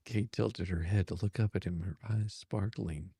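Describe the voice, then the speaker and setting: A man narrating a steamy romance in drawn-out, amused phrases on a close microphone. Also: on the low side, creaky, dark tone, husky, no echo. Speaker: narrator and reader. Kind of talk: dramatic reading of a steamy romance.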